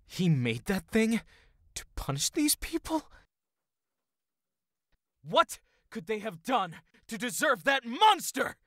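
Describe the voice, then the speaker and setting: Man playing an animated young high elf. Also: slow pace, long pauses, dry recording, studio quality, full character voice. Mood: incredulous. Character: young male high elf, incredulous and hesitant. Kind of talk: fantasy audio drama acting.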